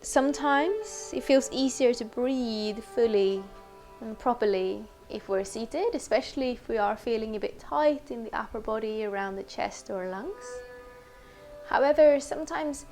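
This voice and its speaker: A woman teacher leading a guided meditation in a gentle, unhurried, conversational way. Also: a little high, soft background music.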